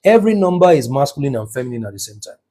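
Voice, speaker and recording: A man's voice: mid-range voice, big pitch swings, racing, warm tone. A man giving a numerology lesson, speaking emphatically and checking in with his listeners as he goes. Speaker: numerology teacher. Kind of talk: teaching talk.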